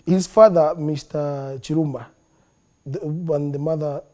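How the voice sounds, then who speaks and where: A man with a Zambian accent, a comedian being interviewed in a studio, animated and quick to laugh, slipping into local languages now and then. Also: fairly high pitch. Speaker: comedian guest. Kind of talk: interview talk.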